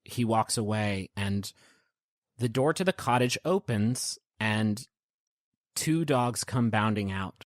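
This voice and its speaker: A man narrating a tabletop game as game master, in gentle, descriptive storytelling. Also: lowish voice, expressive, slow, dry recording, clean studio sound. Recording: prominent piano music underneath.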